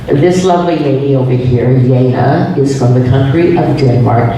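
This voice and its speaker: A man's voice: medium pitch, normal speed, warm tone, very echoey, far from the mic, slight background noise, low-quality recording. A man hosting a class session, introducing a guest in a chatty, joking way. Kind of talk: classroom presentation.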